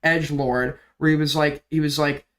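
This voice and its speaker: A young man with a slightly raised pitch talks through drama commentary on his stream, his throat sore from illness so the voice cracks and he coughs. He rambles, swears freely and leans on fillers, shifting easily between quick and slow talk. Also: steady tone, fairly bright tone. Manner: rambling, profane, filler-heavy. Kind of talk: casual livestream commentary.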